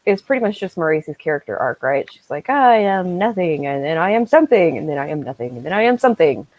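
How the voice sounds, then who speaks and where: A woman around her thirties chatting casually on a video call, acting out her reactions and quotes in an animated way. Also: low voice, quick pace. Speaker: co-host of a book discussion. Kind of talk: casual chat. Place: small room at home, on a video call.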